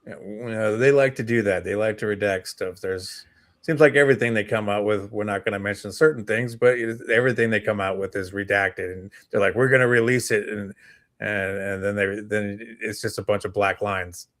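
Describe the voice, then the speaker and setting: Low-voiced man, easygoing co-host chat. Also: fairly even intonation, moderate pace, fairly bright tone. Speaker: talk show co-host. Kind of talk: casual morning show chat.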